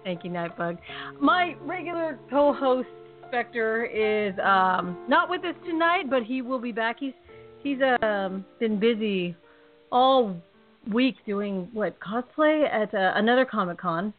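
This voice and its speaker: A woman's voice: fairly high pitch, expressive, measured pace, soft background music. Chatty Californian woman host on a tinny line. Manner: chatty, rambling, laughs often. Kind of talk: casual podcast chat. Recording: tinny phone-line audio.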